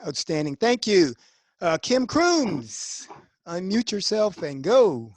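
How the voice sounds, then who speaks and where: Animated, upbeat moderator, dry close sound. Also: medium pitch, slow, no echo. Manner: upbeat, repeats praise. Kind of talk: moderating online forum. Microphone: video call.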